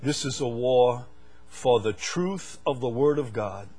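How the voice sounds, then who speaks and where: An older man from the United States preaching a sermon, swinging from loud, fervent stretches to quiet asides. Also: mid-range voice, unhurried, soft background music.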